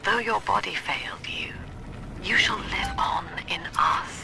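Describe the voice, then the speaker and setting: An actress playing a cold, composed cult leader and psychiatrist villain in a video game, speaking in grave, precisely articulated, preaching monologues. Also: female voice, mid-range voice, measured pace, creaky, in a whisper. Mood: calm persuasion.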